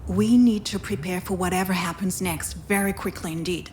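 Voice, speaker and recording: Female hospital doctor played by an actress for a narrative game: lowish pitch, grave, formal, explanatory. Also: steady tone, fairly quick, a little mellow, slight background noise, close to an everyday voice. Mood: grave, urgent. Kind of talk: visual novel voice acting.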